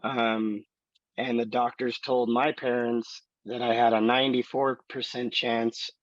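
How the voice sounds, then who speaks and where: A man in his thirties with a raspy voice, slowly telling his life story in an interview with many fillers. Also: mid-range voice, level tone, no echo, dull audio. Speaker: student.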